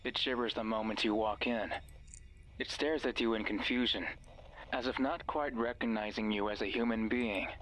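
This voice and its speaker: A man's voice: on the low side, steady tone, moderate pace, fairly bright tone, lightly acted, low-quality recording. An actor playing a manipulative, obsessive man's voice from a radio in a horror game, speaking with intimate, insistent, theatrical intensity. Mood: ominous narration. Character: manipulative, pleading male voice from a radio, obsessive and sinister. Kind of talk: dramatic horror game voice acting.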